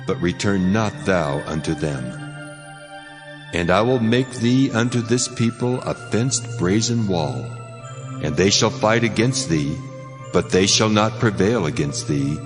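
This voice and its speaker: An actor playing God as a stern, solemn and mighty deity, speaking in grave, measured declamation in a scripture drama. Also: male voice, lowish voice. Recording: long cavernous reverb over music.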